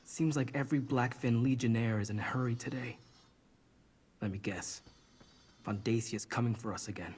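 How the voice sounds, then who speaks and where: A man's voice: mid-range voice, moderate pace, long pauses, a little mellow, husky, natural voice. A man voicing an earnest, wary and protective young mage in a video game, serious and guarded. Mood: wary, determined. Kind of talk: video game character acting.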